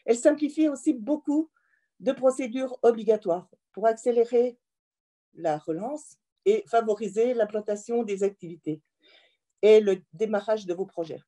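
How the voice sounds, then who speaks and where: Female elected official, formal speech, lightly high pitch, very mellow timbre, earnest and measured. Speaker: member of parliament addressing local mayors.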